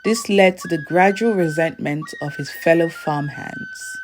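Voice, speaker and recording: A woman reading a scripted folktale as a storyteller, in a measured storybook delivery. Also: fairly low pitch, over music.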